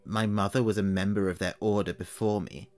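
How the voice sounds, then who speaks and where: A man's voice: on the low side, fairly even intonation, fairly quick, a little mellow, natural voice. A man voicing a suspicious old woman witch who lives alone, speaking sharply and interrogating. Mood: stern.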